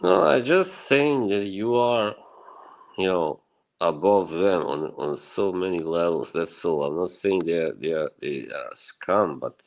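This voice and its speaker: A man's voice: low-pitched, expressive, a little slow, vocal fry, low-quality recording. A man from Bosnia, rambling and reassuring as he chats casually with viewers on his livestream and chuckles often. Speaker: livestream host. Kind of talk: casual chat with live viewers.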